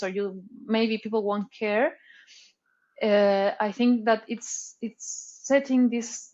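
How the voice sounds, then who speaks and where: A woman's voice: on the high side, a little mellow, dull audio. A woman from Chile, a guest in a casual interview, thinking aloud and searching for words. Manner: thoughtful, searching for words with many "like"s and restarts. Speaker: artist guest.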